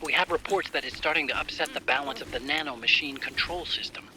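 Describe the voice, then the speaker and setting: A man playing a serious, alert military support officer in a video game, giving a crisp and businesslike mission briefing. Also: on the high side, fast, bright, forceful tone, a little hiss or hum. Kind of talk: dramatic video game cutscene acting.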